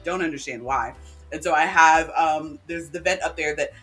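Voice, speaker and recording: Rambling, chatty woman co-host, bright voice. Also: deep voice, moderate pace, faint music behind. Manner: rambling, chatty, many ums and restarts. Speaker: livestream co-host. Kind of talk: casual live chat. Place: cool room at home. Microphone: home desk microphone.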